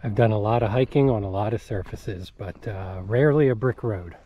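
A man's voice: fairly low pitch, fairly quick, muffled recording, a little hiss or hum. A middle-aged man casually narrating a hike outdoors, chatty and easygoing, reading signs aloud as he walks. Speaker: hiking vlogger. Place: outdoors on a farm by a bay.

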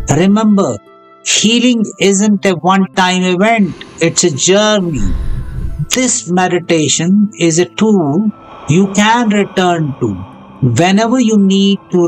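A meditation guide speaking in a soothing, measured way with deliberate pauses. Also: medium pitch. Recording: music bed behind the voice. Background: background music.

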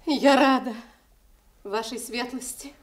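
An actress playing a cold, haughty grown princess with measured, formal stage delivery in a radio tragedy. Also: female voice, a little high, slight background noise. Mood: coolly formal. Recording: echoey Soviet radio theatre recording.

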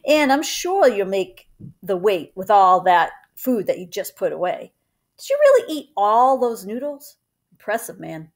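A woman's voice: medium pitch, sing-song, unhurried. Woman reading as a casual, teasing storybook uncle. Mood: proud teasing. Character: joking, proud young uncle in a children's story.

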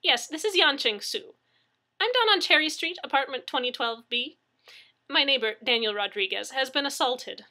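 A woman's voice: high-pitched, animated, moderate pace, pushed and bright, voice pushed up, full character voice. A woman voicing a kindly, motherly older neighbor woman in an audiobook story, fussing and emphatic. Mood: urgent, insistent.

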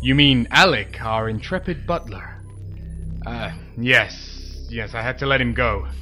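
An actor playing a self-important, penny-pinching superhero in a comedy audio drama, earnest and declamatory. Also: male voice, medium pitch, a little slow, pushed and bright, faint music behind.

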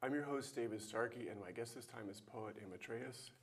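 A man's voice: mid-range voice, brisk, a little hiss or hum. A man in his sixties hosting a TV arts interview show, friendly and encouraging with his guest. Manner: friendly, encouraging, many backchannels. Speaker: TV show host. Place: TV studio. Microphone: lapel.

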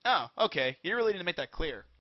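A man acts out a brash, dim young cartoon ninja boy. The voice is high and jumps around as he argues, recorded dry and a little dull for a parody dub. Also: very animated, brisk, no echo. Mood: confused. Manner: brash, argumentative. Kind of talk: comedic anime parody dub.

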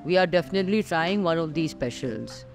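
A middle-aged woman with an Indian English accent giving scripted voice-over narration for a restaurant visit, measured and descriptive, with clear enthusiasm for the food. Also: fairly low pitch, expressive. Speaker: food vlogger host. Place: voice-over recorded separately from the restaurant scenes. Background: background music under the narration.

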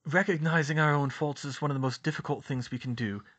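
A man voicing a calm, wise, old male headmaster wizard, speaking in a measured, formal way. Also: fairly high pitch.

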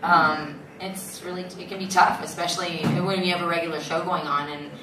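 A woman voice actress with a gravelly voice, chatting on a convention panel. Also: on the low side, fairly even intonation, a little fast. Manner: chatty, laughing often. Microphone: handheld mic, far camera. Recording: echoey hall, distant camera, crowd noise.

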